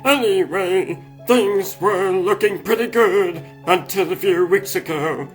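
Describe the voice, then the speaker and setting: Young mouse hero narrating , played by a man: slow, slangy, wry, music underneath. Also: sits high, low-quality recording. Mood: wry, reflective. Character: young mouse basketball star recounting his troubles. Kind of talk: first-person scripted narration in comic dub.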